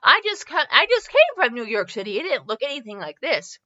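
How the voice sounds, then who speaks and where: A hapless, bumbling tiny man from a comic storybook, read by a woman. The voice is bright and rather high, awkwardly polite, on a slightly dull recording. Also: sing-song, a little fast, heavily acted. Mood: abashed.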